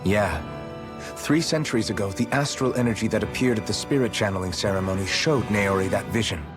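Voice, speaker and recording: A lowish voice carries this earnest young fantasy hero, acted by a man. He is serious, plain-spoken and resolute, as in a video game cutscene, with soft music underneath. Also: fairly quick, natural voice. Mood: serious, thoughtful.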